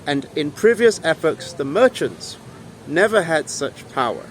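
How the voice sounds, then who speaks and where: A man's voice: on the high side, sing-song, measured pace, a bit bright. A young man explaining history to camera outdoors, earnest and lecturing, stressing key words, filmed on a phone at arm's length. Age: young adult. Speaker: history vlogger. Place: outdoors in a town square by old buildings. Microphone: phone held at arm's length. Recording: faint street noise with passing vehicles.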